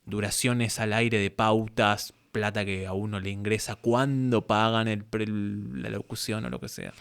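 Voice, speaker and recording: A man's voice: fairly low pitch, little pitch movement, a little slow, vocal fry, fairly bright tone, smooth. A man with an Argentine accent hosting a casual interview, reflective and wordy in the way he talks. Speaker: interview host.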